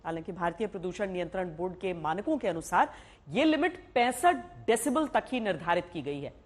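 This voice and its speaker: A woman reading scripted, explanatory news narration. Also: mid-range voice. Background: faint music bed.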